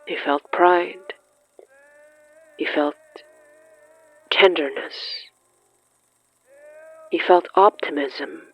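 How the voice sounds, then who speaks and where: A narrator reading dramatic horror fiction in a measured, halting way with weighty pauses. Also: medium pitch, muffled recording. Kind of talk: slow, dramatic scripted narration.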